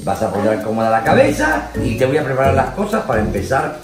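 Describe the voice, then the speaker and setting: A man's voice: mid-range voice, very animated, fast talker. A middle-aged man, a dentist teasing and reassuring his daughter. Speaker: dentist, her father. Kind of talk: casual explaining to a patient. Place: small dental clinic room. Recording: roomy clinic with music behind.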